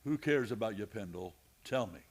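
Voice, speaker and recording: A man's voice: lowish voice, animated, normal speed. A man playing a weary, dogged Old West marshal in a radio play, terse and questioning. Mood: stern, probing. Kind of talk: western radio play acting.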